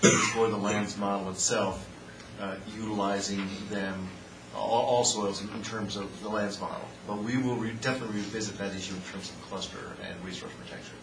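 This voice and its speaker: A man calmly answering at a public meeting, reassuring and steady. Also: lowish voice, a little fast, far from the mic. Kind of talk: meeting discussion. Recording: noisy roomy room mic from afar.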